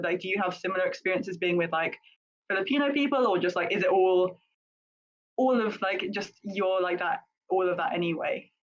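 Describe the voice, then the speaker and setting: Woman co-host, rambling, filler-heavy chat, slightly dull audio. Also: medium pitch. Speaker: podcast co-host. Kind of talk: casual panel chat.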